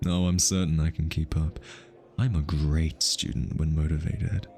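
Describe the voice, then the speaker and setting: A man acting a low-voiced, easily flustered smitten hitman. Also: little pitch movement, moderate pace, warm tone. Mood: challenging. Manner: teasing, stammers and backtracks when flustered. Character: easily flustered male hitman, teasing, smitten, posing as socialite. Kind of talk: ASMR roleplay acting.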